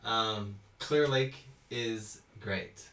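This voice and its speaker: A man's voice: lowish voice, extremely slow, a bit bright. A man in his thirties from Houston, a cello teacher chatting casually on a live-stream Q&A, reading out viewer comments and laughing often. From Houston, Texas. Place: small indoor room at home. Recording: roomy echo.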